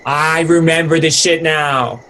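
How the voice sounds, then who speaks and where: Young male stoner thrill-seeker played by a man, lightly high voice, loose slangy delivery, faint night noise. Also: fairly even intonation, measured pace, bright, forceful tone. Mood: excited, amused. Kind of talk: dramatic reading, casual slangy dialogue.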